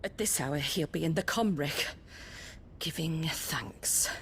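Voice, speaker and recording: An actress playing a caring but anxious noblewoman in a fantasy game, hushed and admonishing. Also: sits low, slow pace, a little hiss or hum. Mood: hushed, brisk. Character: anxious, caring noblewoman, scolding yet kind. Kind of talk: dramatic fantasy game acting.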